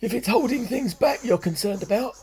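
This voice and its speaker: Man acting very high, creaky-voiced passenger. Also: lively intonation, fairly quick. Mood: accusing. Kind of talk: amateur radio play acting.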